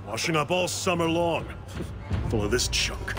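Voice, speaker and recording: A man playing a scornful, eager Viking raider in a video game, rough and dismissive, with music underneath. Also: a little high, animated, unhurried. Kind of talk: dramatic video game cutscene acting.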